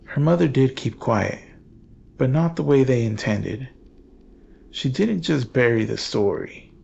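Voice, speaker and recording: A man reading scripted horror narration in a grave, measured, ominous manner. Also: medium pitch, lively intonation, creaky, a little mellow, slightly rough, muffled recording.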